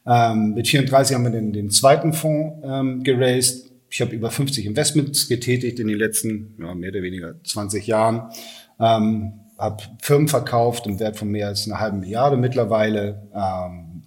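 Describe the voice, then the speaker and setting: A man's voice: on the low side, normal speed. A man, talking casually and matter-of-factly as an interview guest. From Hamburg, Germany. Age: 40s. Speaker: podcast guest, venture capitalist.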